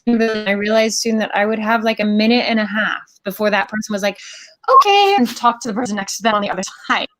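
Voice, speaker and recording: A woman speaks as a panelist on a video call, reflective and unhurried. Her pitch is mid-range. The sound is dry, with little room around it. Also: a bit bright, dry recording, faint music behind. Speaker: panelist, climate activist.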